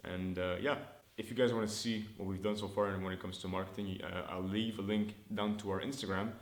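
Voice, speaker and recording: A young man talks in a fairly low voice, chatty and upbeat, thinking out loud and restarting his sentences. He records himself in a room that gives the sound a noticeable echo. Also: steady tone, a little fast. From Montreal, Canada. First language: French. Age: early 20s. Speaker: startup founder. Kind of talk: casual business vlog update. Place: indoor room, filmed on his own camera.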